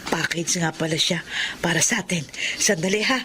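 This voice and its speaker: An actress playing a kindly older grandmother in a Filipino radio drama, warm and exclamatory. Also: on the low side, normal speed, a little hiss or hum. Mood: reassuring. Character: kindly, excitable older mother-in-law and grandmother.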